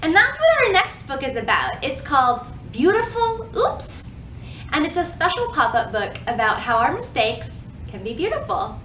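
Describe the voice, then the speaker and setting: A woman's voice: sits high, sing-song, normal speed, fairly bright tone, slight background noise, poor audio. A woman presenting a children's storytime, explaining things simply, asking young listeners questions and reading aloud.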